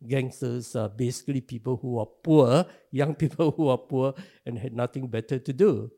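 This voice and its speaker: An older man from Singapore, speaking with a Singaporean English accent, who gives measured, halting answers in an interview. His voice is gravelly and dark, and he often pauses on fillers as he thinks. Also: mid-range voice. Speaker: interview guest, activist.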